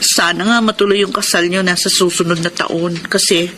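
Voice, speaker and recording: A woman's voice: lowish voice, fairly even intonation, normal speed, bright, forceful tone, slight background noise. An actress playing a concerned, moralizing female friend with a Filipino accent, advising insistently in a radio drama.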